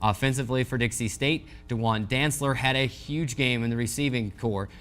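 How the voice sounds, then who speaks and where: A man hosting a sports show, reading a scripted recap of game scores and highlights. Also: moderate pace, fairly bright tone, clean tone.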